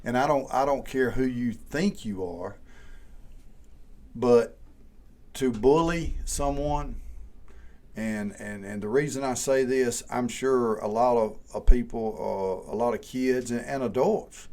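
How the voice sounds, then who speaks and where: A man's voice: medium pitch, takes it slowly, darkish tone. A man in his forties from Georgia with a Southern accent, talking casually on a podcast. He rambles and repeats words as he starts each thought. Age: 40s. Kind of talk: casual chat.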